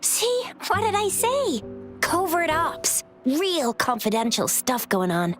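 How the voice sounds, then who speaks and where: A woman voicing an excitable, teasing, cheerful young companion in a video game, playful and emphatic. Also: high-pitched, takes it slowly, soft background music, heavily acted. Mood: teasing, breezy.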